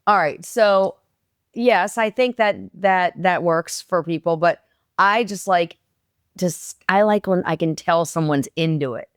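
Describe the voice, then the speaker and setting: A woman with a smooth, resonant voice pitched on the low side talks candidly and teasingly, with a slight hoarseness in her throat. The sound is dry and close. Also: measured pace, dry recording. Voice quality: smooth, resonant radio-style voice. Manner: animated, direct, teasing. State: slightly hoarse from a lingering tickle. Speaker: podcast co-host. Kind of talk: casual, candid chat. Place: in bed at home.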